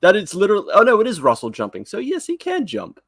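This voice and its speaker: A man co-hosting a podcast, talking casually in an animated, rambling, self-deprecating way. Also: high-pitched, fast, husky, no room sound, studio quality. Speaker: podcast co-host. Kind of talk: casual fan podcast chat.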